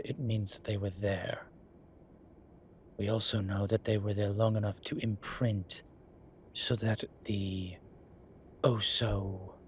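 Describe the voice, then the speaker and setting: A man playing a weary, wry incubus professor in an intimate audio roleplay, speaking in measured, drawn-out phrases. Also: fairly low pitch, slight background noise. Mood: pensive, grave. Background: ambient sound effects.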